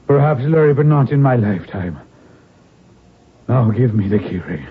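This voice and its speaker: A man's voice: medium pitch, animated, lightly acted. A man acting a dying Irish lord, muffled recording. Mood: grave, pleading. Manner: weary, halting, urgent deathbed delivery. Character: dying Irish lord, wounded rebel, commanding and fatherly. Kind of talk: old-time radio drama acting. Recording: muffled, narrow-band vintage radio recording.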